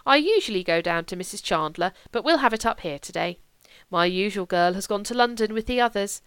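A woman's voice: medium pitch, expressive, brisk, a bit bright, smooth, dry recording. A woman voicing an elderly family nanny who rambles on fondly and gossips about the household. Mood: fond chatter. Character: elderly female family nanny, fond and chatty. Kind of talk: audiobook character voice.

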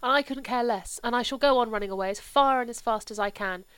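A woman voicing a sullen, impulsive young English gentleman who is still a little drunk, petulant and raised. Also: fairly high pitch, quick pace, clean tone, dry recording, pitched above the performer's own voice, lightly acted. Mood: defiant.

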